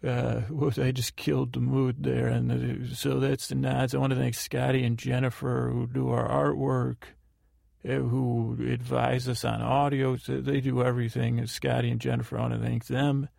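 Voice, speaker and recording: A man hosting a sleep podcast, rambling through housekeeping in a halting way and often correcting himself. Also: mid-range voice, flat delivery, vocal fry, a little mellow, no echo, clean studio sound. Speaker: podcast host.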